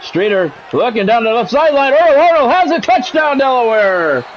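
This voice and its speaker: A man's voice: extremely high pitch, sing-song, moderate pace, a little hiss or hum, low-quality recording. A man doing football play-by-play as a game streamer, in short clipped announcer-style calls mixed with casual chat to viewers.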